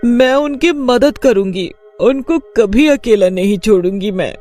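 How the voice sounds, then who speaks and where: Man voicing high, brooding young witch ghost. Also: unhurried, darkish tone, soft background music. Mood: sorrowful resolve. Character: sorrowful young female witch ghost, devoted daughter. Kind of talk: cartoon story monologue.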